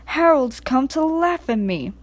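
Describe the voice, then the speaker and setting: A woman's voice: high-pitched, animated, unhurried, no echo, pitched above the performer's own voice. A woman voicing a plucky young male steam engine from a storybook, with plaintive, shivering exclamations. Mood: sad, indignant. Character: plucky young male little steam engine, determined, easily put-upon.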